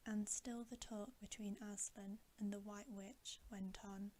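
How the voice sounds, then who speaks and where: Slow, whispering woman reading a bedtime story. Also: mid-range voice, little pitch movement, warm tone, slight background noise. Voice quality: breathy whisper.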